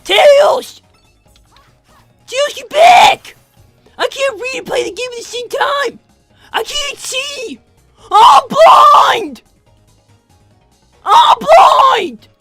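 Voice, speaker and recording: A woman's voice: very high-pitched, lively intonation, extremely slow, long pauses, fairly bright tone, raised voice, faint music behind, heavily acted. A woman voicing a bratty, blunt five-year-old cartoon boy who is demanding and melodramatic, in an improvised comedy livestream. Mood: melodramatic wailing. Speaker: livestream co-host in character.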